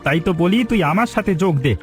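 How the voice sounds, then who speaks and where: A man's voice: high-pitched, animated, very fast, faint music behind. A man voicing a sly tempter in a village cartoon, coaxing and persuading like one luring youths into shady work. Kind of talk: melodramatic cartoon voice acting.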